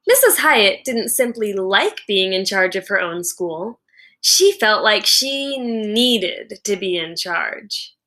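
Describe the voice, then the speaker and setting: A woman with a bright, forward voice reads a children's story aloud like a teacher. Her delivery is slow and deliberate, with expressive, dramatic storytelling. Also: medium pitch. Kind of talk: expressive read-aloud narration.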